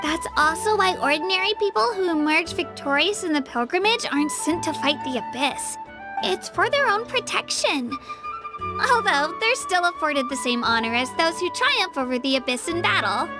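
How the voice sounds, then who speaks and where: An earnest young girl speaks in an extremely high voice with music playing behind her. The game character explains things sincerely. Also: expressive, moderate pace. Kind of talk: video game voice acting.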